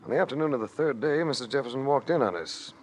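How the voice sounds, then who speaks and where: An American actor playing a suave, hard-boiled adventurer who narrates in a wry, clipped old radio detective style. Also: male voice, medium pitch, quick pace, natural voice. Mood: wry narration. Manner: wry, smooth, clipped noir delivery. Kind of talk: 1940s-50s radio detective drama acting. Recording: hissy old-time radio recording.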